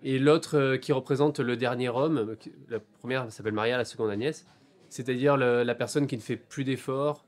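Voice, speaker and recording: A man in his forties from France, an author talking about his books in a bookshop interview, thoughtful and hesitant, with many pauses and fillers. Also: mid-range voice, steady tone. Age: 40s.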